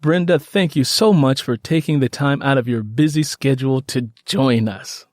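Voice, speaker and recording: A man's voice: mid-range voice, normal speed, a little mellow, dry recording, clean studio sound. A man hosting a podcast interview in an effusive way, often repeating his phrases. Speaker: podcast host.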